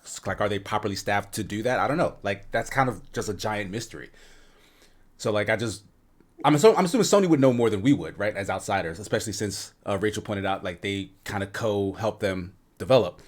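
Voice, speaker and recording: Male podcast panelist, slightly low register, informal, conversational speech about games. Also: lively intonation, fairly quick. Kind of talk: casual chat.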